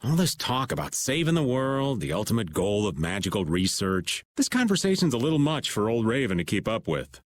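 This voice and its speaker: An actor playing a weary, teasing middle-aged rogue with a flippant drawl in a video game. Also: male voice, lowish voice, very animated, fairly quick, hardly any pauses.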